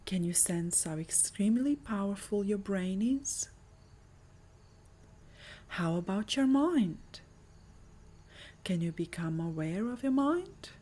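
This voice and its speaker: A woman with a soft, breathy voice narrating a guided meditation in a soothing, measured manner. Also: medium pitch, expressive. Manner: soothing, measured, breathy delivery with lingering pauses.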